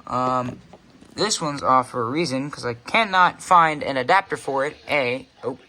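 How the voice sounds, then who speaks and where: A man with a bright, forward voice at a middling pitch gives an unscripted show-and-tell for his hobby video. He speaks casually and haltingly, often breaking off and picking up again in an offhand way. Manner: halting, offhand, self-interrupting.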